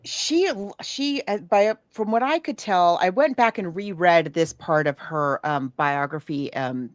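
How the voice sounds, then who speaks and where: A woman's voice: on the low side, unhurried, no room sound, studio quality. A woman co-hosting a podcast, chatting casually about books and films in a digressive, thinking-aloud way. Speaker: podcast co-host. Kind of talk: casual book and film discussion.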